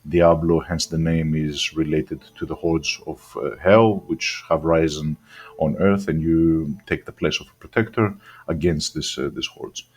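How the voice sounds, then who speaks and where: A man's voice: extremely low pitch, fairly even intonation, a little slow, husky. A man who grew up in Australia, with a mixed accent that is partly Greek-sounding, talks casually and hesitantly about a video game and often restarts his sentences. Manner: rambling, hesitant, many ums and restarts. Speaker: gaming channel host. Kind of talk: casual game review talk.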